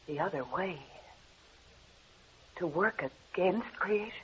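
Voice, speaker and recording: An actress playing a proud, ambitious young actress in a 1940s radio drama, speaking with poise and quiet resolve. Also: female voice, on the low side, takes it slowly, husky, heavily acted. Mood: shocked. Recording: muffled old radio broadcast.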